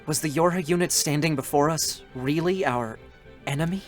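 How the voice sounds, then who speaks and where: A man's voice: on the high side, normal speed, close to an everyday voice. A man voicing an emotional young male android scanner, reading game scenes aloud at a live concert. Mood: reflective. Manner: strained, breaking into desperate shouts. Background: faint music.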